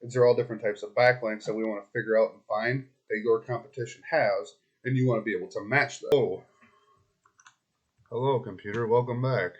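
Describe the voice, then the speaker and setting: Man, hoarse, mid-pitched, web consultant narrating his screen in a loose, chatty way, thinking out loud with frequent ums. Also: fairly even intonation, brisk, muffled recording. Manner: talks to the client directly, loose and chatty, thinks aloud with many ums and restarts. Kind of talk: casual screen-recorded walkthrough.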